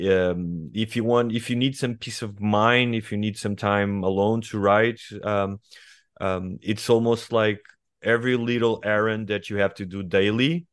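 A man in his forties from Portugal with a Portuguese accent, speaking as a guest writer in an interview, thoughtful and hesitant, often pausing and restarting. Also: fairly low pitch, no echo, clean studio sound. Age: 40s. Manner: reflective, hesitant with many fillers and restarts. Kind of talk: conversational interview.

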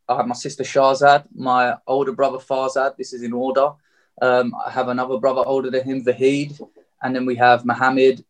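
This is a man of about thirty with a southeast English accent. He speaks as an interview guest, telling a personal story candidly. His manner is open and reflective, and his voice sits at a middling pitch. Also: poor audio. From Margate, England.

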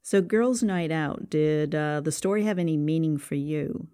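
A man interviewing a guest casually on a podcast, with halting, hesitant phrasing. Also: a little high, warm tone, clear, resonant tone.

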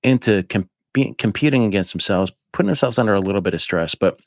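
A man in his fifties, a podcast guest, chatting casually in a rambling, animated way with lots of fillers. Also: on the low side, quick pace, vocal fry, no echo, clean studio sound. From United States. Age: 50s. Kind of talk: casual chat.

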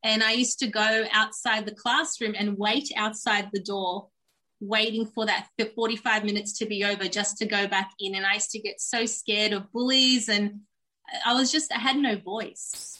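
A woman's voice: mid-range voice, fairly even intonation, moderate pace, pushed and bright, poor audio. A woman, a Turkish speaker, telling her life story in an earnest, reflective way as an interview guest. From Australia. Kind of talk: candid personal storytelling in a podcast interview.

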